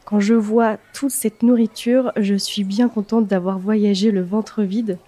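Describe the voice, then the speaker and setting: Mellow-voiced woman hosting an interview. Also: mid-range voice, steady tone, a little slow. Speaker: podcast host and interviewer. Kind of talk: conversational interviewing with scene-setting narration.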